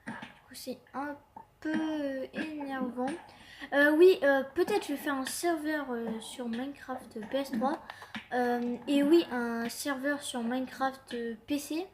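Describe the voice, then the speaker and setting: A young woman with a stuffy cold chats her way through a Minecraft session in a high, slow voice. She hesitates, restarts and fills every gap with fillers. A faint hiss sits under the recording. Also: steady tone. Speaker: gaming channel host. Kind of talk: casual Minecraft commentary.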